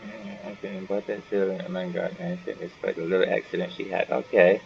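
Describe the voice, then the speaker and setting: A man's voice: sits low, little pitch movement, brisk, creaky. A man giving casual gaming commentary, muttering and thinking aloud as he plays. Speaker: gaming video host. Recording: dull lo-fi mic with faint noise.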